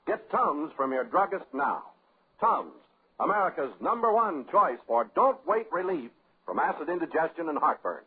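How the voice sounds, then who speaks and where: A man's voice: a little high, big pitch swings, normal speed. A man reading a scripted 1950s radio commercial as announcer, with an emphatic, salesmanlike delivery. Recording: muffled, narrow old radio recording.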